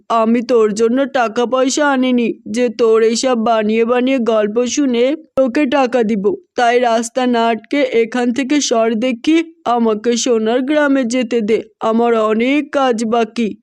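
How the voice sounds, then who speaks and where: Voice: medium pitch, steady tone, unhurried, a little mellow, clean tone, no echo, lightly acted. An actor voicing a greedy, rude male village moneylender in a cartoon fairy tale, with a scornful, bullying manner. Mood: scornful, impatient.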